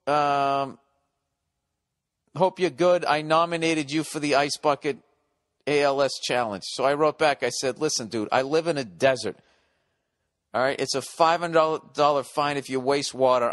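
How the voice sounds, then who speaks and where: A middle-aged American man hosting a podcast, ranting sarcastically with lots of swearing, going loud then quiet. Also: on the high side, dry recording, studio quality. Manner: profane, sarcastic ranting, swings loud and soft. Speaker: podcast host. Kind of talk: ranting solo podcast monologue.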